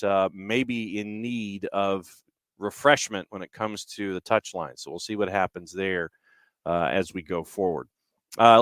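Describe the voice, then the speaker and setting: A man's voice: mid-range voice, a bit bright, clean tone, dry recording. A man hosting a casual sports talk show, talking in a wordy way with digressive asides. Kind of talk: casual sports talk and live-read sponsor spots. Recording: music bed behind the voice. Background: background music.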